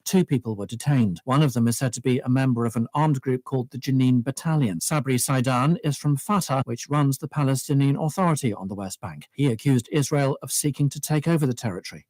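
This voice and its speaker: A man delivers world news from a script. His voice is mid-pitched and his manner is formal and factual. The recording is dry, with little room sound. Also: darkish tone, no echo. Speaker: news reporter. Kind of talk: scripted international news bulletin reading.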